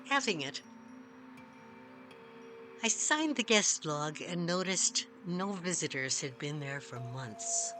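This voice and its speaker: A woman reading a first-person story as scripted narration, measured, with dramatic pauses. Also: low-pitched. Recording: music behind the voice. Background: music.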